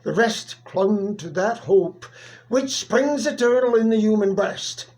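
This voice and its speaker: A person reciting a narrative poem in a theatrical, deliberate manner with dramatic pauses. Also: medium pitch, expressive, a little mellow. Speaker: poetry reader.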